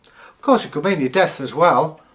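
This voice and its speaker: A man voicing a wry Norse father advising his son in a measured, deadpan way, within a folktale. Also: fairly high pitch, voice pushed up.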